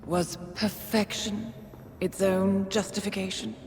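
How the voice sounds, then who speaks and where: A woman's voice: medium pitch, level tone, a little slow, creaky, a little mellow. An actress playing a haughty, menacing phantom of a woman handler, mocking and theatrical, in a video game cutscene. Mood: mocking menace. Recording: long hall reverb, noisy ambience.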